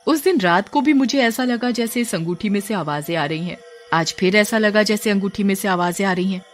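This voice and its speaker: A woman voicing a poor, loving, worried mother in a moral story, gentle and plaintive. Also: medium pitch, fairly quick, faint music behind, close to an everyday voice. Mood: puzzled. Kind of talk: animated moral story dialogue.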